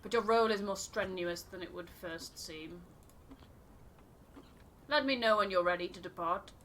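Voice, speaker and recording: A woman's voice: on the low side, moderate pace, a bit bright, slight background noise, close to an everyday voice. Stern, formal expedition officer voiced by a woman. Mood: brisk, formal. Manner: formal, clipped, authoritative wording. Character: stern, exacting expedition officer briefing a subordinate.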